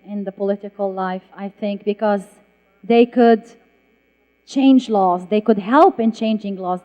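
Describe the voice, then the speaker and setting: A woman, an author telling a story on a festival panel with emphatic restarts. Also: a little high. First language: Arabic. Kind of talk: public panel talk with audience. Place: festival hall stage. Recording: dull, echoey hall sound.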